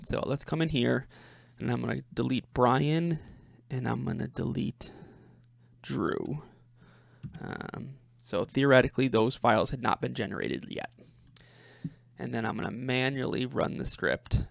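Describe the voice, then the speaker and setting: A man with a noticeably creaky, mid-pitched voice presents an informal software walkthrough. He talks in a halting way, as if working out each phrase aloud, and often repeats a word before going on. The recording is dry and close, with little sound of the space. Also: sing-song, dry recording. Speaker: presenter demonstrating a personal coding project. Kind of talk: informal screen-share walkthrough.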